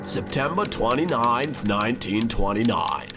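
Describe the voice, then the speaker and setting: A man playing an earnest, unhinged, well-educated gentleman of the 1920s in a comic radio play, wordy and fussy. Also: mid-range voice, lively intonation, a little slow, loud music behind the voice. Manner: wordy, fussy, self-correcting. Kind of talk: old radio drama parody, letter narration.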